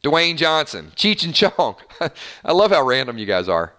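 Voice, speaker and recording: Bright, upbeat male live-stream host. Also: a little high, very animated, measured pace. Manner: chatty, upbeat, lots of fillers and restarts. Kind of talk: casual live-stream chat reading viewer comments.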